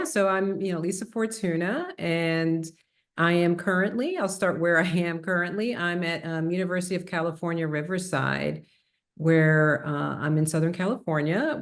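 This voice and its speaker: A middle-aged woman with a slight New York-area accent, speaking thoughtfully in a conversational interview as a psychiatrist guest, with frequent fillers and restarts. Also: deep voice. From Puerto Rico, raised New Jersey and New York.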